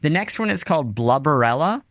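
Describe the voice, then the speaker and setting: A man's voice: a little high, expressive, a little fast, a bit bright, no echo. A man hosting a conversational interview podcast, speaking in an articulate, wry way.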